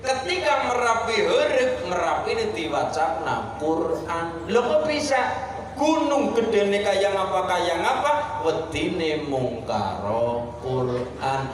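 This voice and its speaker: A man's voice: high voice, very animated. A man with a Javanese accent preaching a folksy Islamic sermon, emphatic and repetitive, with rhetorical questions and Quran quotes. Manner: emphatic, pausing, repetitive delivery. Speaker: preacher at an Islamic study gathering. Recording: echoey PA reverb, distant, noisy gathering.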